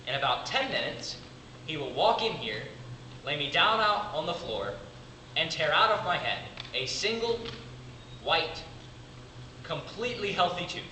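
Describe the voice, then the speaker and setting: Man acting a slow, dramatic, weary big brother. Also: on the high side, very animated, pushed and bright, full character voice. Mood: grim, resigned. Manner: deliberate, dramatic pauses between short phrases. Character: weary, devoted big brother caring for disturbed sibling. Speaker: amateur drama club actor. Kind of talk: theatrical stage monologue. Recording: distant mic, echoey room, clearly noisy.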